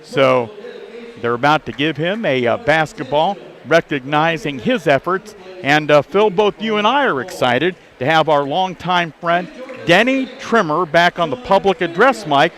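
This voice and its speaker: A man's voice: fairly high pitch, lively intonation, measured pace, fairly bright tone. A man hosting a live local sports radio broadcast from a gymnasium, with an announcer-like delivery. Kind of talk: live pregame sports broadcast with sponsor reads. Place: indoor high school basketball gymnasium. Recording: busy gym crowd behind the voice. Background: crowd and bouncing basketballs.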